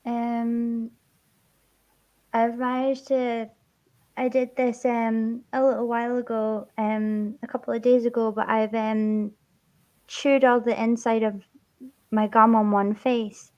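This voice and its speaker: A woman's voice: on the high side, level tone, slow, a little mellow, muffled recording. A Scottish woman hosting a casual stream, giggly and stumbling over her words, with a swollen cheek that makes her speech a little slurred. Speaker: streamer host.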